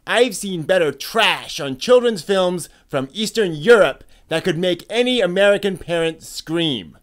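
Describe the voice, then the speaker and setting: A man's voice: high-pitched, animated, a little slow, a bit bright. A man voicing a disgruntled anime fan airing a haughty complaint, declamatory and disdainful, in a dramatic reading. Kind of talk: dramatic reading of online posts.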